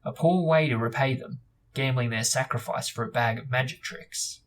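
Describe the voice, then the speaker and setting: A man's voice: mid-range voice, normal speed, recorded from a distance, close to an everyday voice. A man voicing a stern, disappointed wizard teacher reproaching a boy in a storybook reading. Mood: stern disappointment. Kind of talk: audiobook-like reading of novel dialogue.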